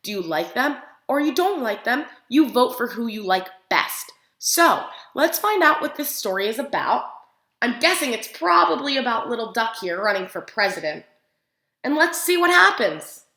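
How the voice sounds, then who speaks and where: A woman preschool teacher reading a picture book aloud to young children, expressively, pausing to put questions to her listeners. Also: on the high side, big pitch swings, sharp tone. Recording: roomy, echoing room sound.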